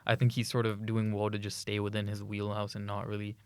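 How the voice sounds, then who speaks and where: A man's voice: on the low side, monotone, fast talker, clean tone, no echo. A young man co-hosting a student podcast, chatting eagerly about music with frequent restarts and laughs. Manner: enthusiastic, laughs often, many restarts. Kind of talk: casual chat about music.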